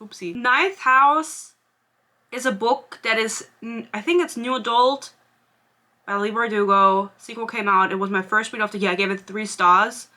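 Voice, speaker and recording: A woman's voice: fairly high pitch, normal speed, sharp tone. A young woman in her twenties whose first language is German, chatting casually as a book vlogger, rambling and sarcastic, laughing often. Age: 20s. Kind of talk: casual chat.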